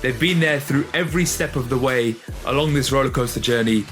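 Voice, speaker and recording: A man hosting a podcast episode and interviewing his parents in an upbeat, lively way. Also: medium pitch, fairly quick, faint music behind. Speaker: podcast host.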